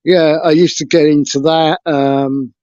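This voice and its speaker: A man with a somewhat high voice tells his story in a frank, hesitant way. The sound is dry and dull, like lo-fi call audio. He speaks as an interview guest on a video call. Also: fairly even intonation, measured pace, darkish tone, no room sound. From England. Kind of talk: casual interview talk.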